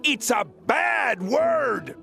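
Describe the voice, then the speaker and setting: A man voicing an excitable, scolding cartoon sea sponge with a squeaky, gravelly voice. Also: drawn-out words, exaggerated voice. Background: loud music.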